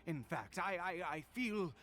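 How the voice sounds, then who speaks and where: An actor playing an eager man fascinated by dark power in a video game, speaking with halting, theatrical wonder. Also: high voice, animated. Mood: dazed, elated. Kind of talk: dramatic video game voice acting.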